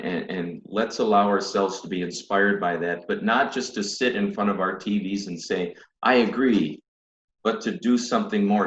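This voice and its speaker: A man in his fifties, a priest, speaking earnestly over a video call from a home study, with many restarts. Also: low voice. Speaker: priest guest. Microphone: video call webcam. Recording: dull, roomy webcam audio.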